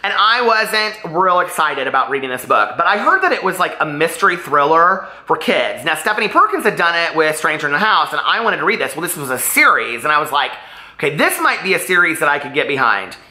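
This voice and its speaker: A man's voice: on the high side, very animated, fairly quick, pushed and bright, reverberant. A man chatting casually and animatedly as a book vlogger, rambling off on tangents.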